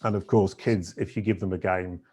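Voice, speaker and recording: A middle-aged man with a big, deep, lowish voice talks as a podcast guest. He tells long, winding stories, full of ums and self-corrections. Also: steady tone, moderate pace, a little mellow. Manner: rambling, with fillers and self-corrections. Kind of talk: casual storytelling interview.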